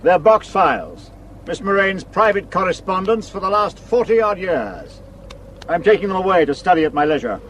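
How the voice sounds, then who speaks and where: An actor playing a shrewd, sardonic police superintendent in a radio play, dry and probing in manner. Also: male voice, sits high, very animated, normal speed, fairly bright tone, slight background noise, low-quality recording. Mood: affable, inquisitive.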